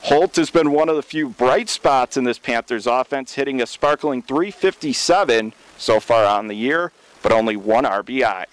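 Clear-voiced American baseball play-by-play man. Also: mid-range voice, normal speed, poor audio. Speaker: baseball radio play-by-play announcer. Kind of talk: live sports radio play-by-play commentary. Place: press box at a baseball stadium.

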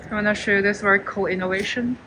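A woman's voice: medium pitch, fairly even intonation, quick pace, noisy background. A woman filming a casual vlog on her phone as she walks around a city, talking in a chatty way and exclaiming often. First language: not Korean. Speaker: vlogger. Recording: loud music behind.

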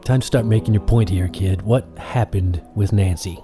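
Guy acting gruffly blunt impatient skeptic, folksy, on the low side, music behind, radio play. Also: moderate pace, close to an everyday voice. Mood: impatient, skeptical. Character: impatient, skeptical male listener prodding a youngster. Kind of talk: audio drama acting.

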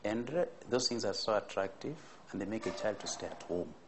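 A middle-aged man answering interview questions in an office, deliberate and pausing with fillers. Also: lowish voice, expressive, slight background noise. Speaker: expert interviewee. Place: office indoors.

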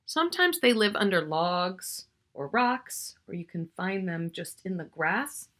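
Woman, middle-aged, children's read-aloud, bright forceful voice, unhurried pace, expressive, drawn-out words, asides to the listener. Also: lowish voice. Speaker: children's author reading her book. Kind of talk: animated picture-book read-aloud for young children. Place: small furnished room at home, indoors.

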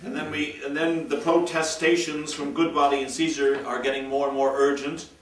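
A man's voice: fairly high pitch, little pitch movement, normal speed. A middle-aged man directing actors in rehearsal, giving emphatic instructions. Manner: emphatic, acts out lines while instructing. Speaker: radio drama director. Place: room in a large house. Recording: echoey room, distant mic, background noise.

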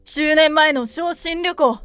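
An actress playing an earnest long-lost lover, grandly romantic and dramatic, in a comedic voice drama. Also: female voice, sits high, slow pace, fairly bright tone. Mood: melodramatic. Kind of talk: melodramatic comedy voice drama acting. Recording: piano music under the voice.

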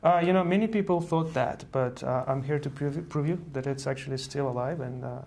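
A man's voice: medium pitch, brisk, vocal fry, darkish tone, a little hiss or hum. A man in his thirties from Estonia, speaking as an earnest guest in a studio interview, often repeating words as he explains. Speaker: guest, software engineer. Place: virtual news-style studio set.

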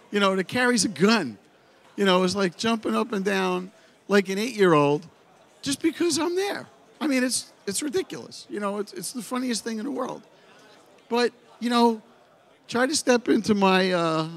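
A middle-aged man with a Brooklyn accent gives a blunt, animated seminar talk into a handheld microphone on a dark expo stage. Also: high voice. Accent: Brooklyn New York. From United States. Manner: blunt, animated storyteller, full of "you know". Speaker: pinball company head giving a seminar. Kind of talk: informal conference talk.

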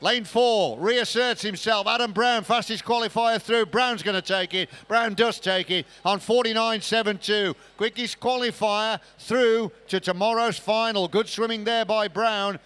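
A man's voice: high voice, steady tone, measured pace, hardly any pauses, a bit bright, clear, resonant tone. A man giving live swimming race commentary as the commentator.

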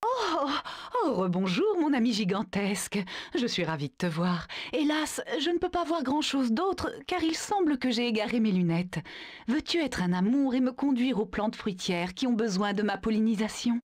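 A woman's voice: on the high side, sing-song, moderate pace, dry recording, clean studio sound. A woman voicing a genteel, nearsighted older female pollinator who is gracious and doting. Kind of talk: video game dubbing.

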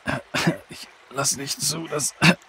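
An actor playing a gravely injured, selfless man who speaks in broken, halting phrases in a children's audio drama. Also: a little high, steady tone, bright, forceful tone. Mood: weak, devoted.

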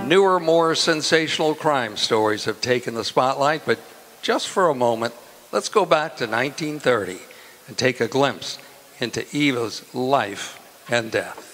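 A man narrating a radio drama from a script in a measured storytelling manner. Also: mid-range voice, animated, a bit bright, faint music behind.

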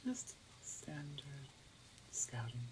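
A woman voicing a gentle, protective older orc shaman in an ASMR roleplay, speaking softly and soothingly in breathy half-whispers. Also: a bass voice, very animated, extremely slow, background noise, full character voice. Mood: calm, caring.

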